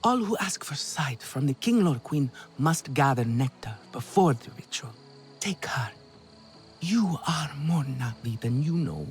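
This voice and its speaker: A man playing a wry, affectionate Na'vi companion, expressive and coaxing, in a dramatic video game. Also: on the high side, a little slow, soft background music. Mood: soothing, coaxing.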